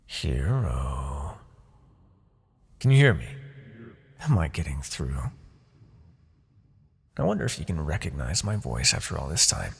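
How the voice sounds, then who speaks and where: A man playing a smug, seductive, possessive Demon King in a fantasy ASMR roleplay, with a gravelly, breathy voice and theatrical, taunting delivery. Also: extremely low pitch, big pitch swings, exaggerated voice. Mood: taunting, intimate. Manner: theatrical, taunting, drawn-out phrasing with soft asides.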